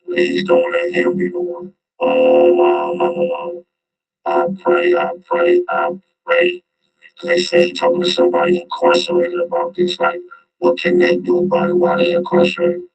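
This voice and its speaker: A man's voice: very deep voice, wildly swooping pitch, unhurried, creaky, over music, poor audio. A man giving off-the-cuff reaction commentary in an informal, slangy way, repeating himself for emphasis. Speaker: host commentator.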